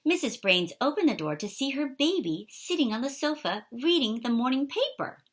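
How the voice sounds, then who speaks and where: A woman reading a children's picture book aloud in an expressive, animated way for young listeners. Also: high voice, moderate pace. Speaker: storybook reader.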